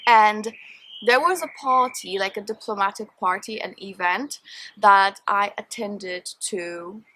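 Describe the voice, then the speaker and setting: A woman's voice: medium pitch, a little slow, sharp tone, rough recording. A young woman from Poland, whose first language is Polish, chatting openly to her viewers in a casual Q&A recorded outdoors in a garden, with many fillers. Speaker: vlogger. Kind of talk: casual Q&A chat to camera.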